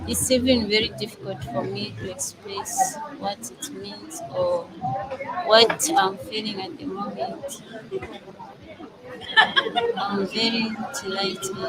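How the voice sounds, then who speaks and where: A young Zambian woman, a newly professed religious sister, speaking softly and slowly in an outdoor interview. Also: on the high side, poor audio. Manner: slow, soft-spoken, measured. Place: outdoors at a celebration. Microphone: masked, speaking softly. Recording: outdoor live stream with loud crowd behind.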